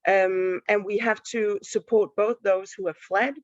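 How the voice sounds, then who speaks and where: A woman from Denmark, speaking as a panelist in an online panel, thoughtful and halting with many restarts. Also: mid-range voice, steady tone, no echo, highs cut off. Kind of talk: panel talk on a live stream. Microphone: video call.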